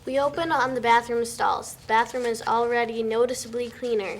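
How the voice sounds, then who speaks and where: A woman's voice: fairly high pitch, steady tone, brisk. A young woman reading screenplay directions aloud as the narrator of a table read. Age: young adult. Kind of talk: scripted narration. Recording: noisy room recording.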